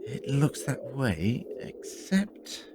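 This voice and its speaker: A man playing an inquisitive, very tall time traveler in an audio drama, speaking in a deliberate, analytical and dryly theatrical way. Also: mid-range voice, big pitch swings, very noisy. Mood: pondering, analytical.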